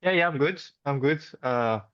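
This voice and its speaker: A man's voice: fairly high pitch, moderate pace, smooth, no room sound. French-accented man on a dull video call. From France. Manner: hesitant, many fillers and restarts, friendly. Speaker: interview guest. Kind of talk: casual video call chat.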